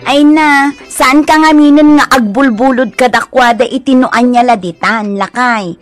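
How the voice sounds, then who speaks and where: A woman's voice: sits high, measured pace, soft background music. An actress playing a sensible wife who chides and advises her husband in a radio drama, with an Ilocano accent. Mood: chiding, reasonable.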